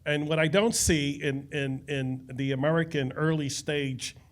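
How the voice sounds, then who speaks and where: An older man speaking up from the audience at a panel, rambling and halting with repeated words. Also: a little high. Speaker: audience member.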